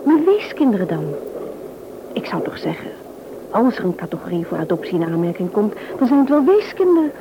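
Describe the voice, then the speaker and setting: A woman's voice: medium pitch, very animated, fairly quick. An actress playing an eager, concerned married woman in a radio play, politely questioning, with a Netherlands Dutch accent. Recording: dull, noisy old radio recording.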